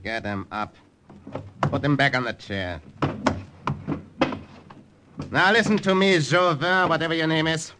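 An actor playing a menacing, imperious German army officer in an old-time radio drama, with a German accent and a clipped, commanding manner. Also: male voice, on the high side, animated, moderate pace, natural voice. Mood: menacing, cold. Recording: noisy vintage radio drama recording.

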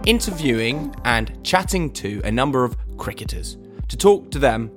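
Man, British accent, podcast host, introduction and interview chat, mid-pitched voice, clear music bed. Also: expressive, moderate pace. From United Kingdom.

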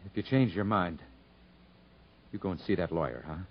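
A stubborn country convict with a low voice and folksy, plain-spoken delivery. It is 1950s radio drama acting, caught on a dull vintage broadcast recording. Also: male voice, normal speed, slight background noise. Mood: resigned, somber. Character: stubborn, proud rural young husband convicted of murder.

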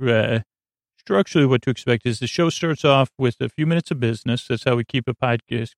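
A man hosting a sleep podcast, rambling in a soothing, creaky drawl that wanders off topic and interrupts itself with wry asides. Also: mid-range voice, dry recording, clean studio sound. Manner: meandering, self-interrupting, wry. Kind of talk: rambling late-night monologue.

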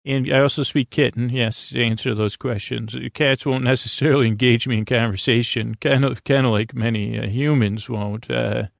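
A man with a creaky, medium-pitched voice hosts a bedtime podcast. He wanders whimsically from thought to thought, hesitating and dropping sentences halfway. The sound is very dry, with no room around it. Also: fairly even intonation, no echo. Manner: meandering, hesitant, halting, trailing off mid-sentence. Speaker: host of a sleep podcast. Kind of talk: rambling whimsical bedtime monologue.

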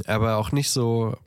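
A man's voice: medium pitch, darkish tone, no echo, clean studio sound. A man with a head cold, chatting casually as a podcast co-host and often searching for words. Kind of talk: casual banter. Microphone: close podcast microphone.

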